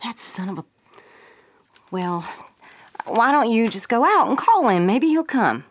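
A woman's voice: on the high side, big pitch swings, unhurried, slight background noise. A woman playing a headstrong, folksy 1930s young mother with a Southern US accent in an old-time radio drama. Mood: exasperated.

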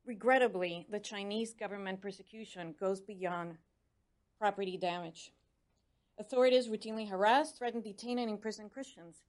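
A woman's voice: medium pitch, normal speed. Spanish-accented woman giving an earnest speech. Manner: earnest, urging, stumbles over foreign names. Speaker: commissioner on religious freedom. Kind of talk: prepared public speech.

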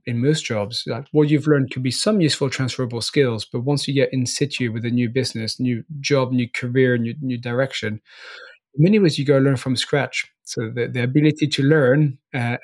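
Interview guest: man, forties, from France, blended French and Northern Irish accent, easygoing, repeats words as he thinks. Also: mid-range voice. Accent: mixed French and Northern Irish. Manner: relaxed, frequent restarts and small laughs. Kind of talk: casual interview chat.

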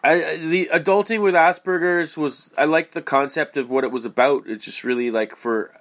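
A man's voice: on the high side, normal speed, fairly bright tone. A man with a stuffy, congested voice hosting his podcast in a rambling, casual way, full of fillers and restarts. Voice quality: nasal, stuffy. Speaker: podcast host. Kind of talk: casual solo podcast monologue.

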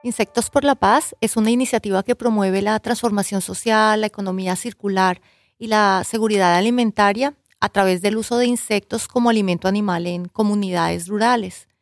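A woman's voice: mid-range voice, steady tone, fairly quick, no echo, clean studio sound. A Colombian woman, a university professor and researcher, answering interview questions in an earnest, explanatory way with occasional hesitations.

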